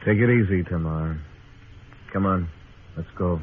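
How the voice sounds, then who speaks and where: A man's voice: deep voice, measured pace, a little hiss or hum. An American man playing a stern frontier marshal in an old radio western, telling his story in a laconic, hard-boiled way. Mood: calm, firm. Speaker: narrating lead actor. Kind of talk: old-time radio western acting with first-person narration. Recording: tinny old radio recording with music.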